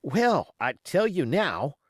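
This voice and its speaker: A man's voice: a little high, sing-song, takes it slowly, dry recording, clean studio sound, voice pushed up, heavily acted. A man voicing an eager, naive young sailor lad in an audiobook, speaking earnestly. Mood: won over, eager. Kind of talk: audiobook character voice.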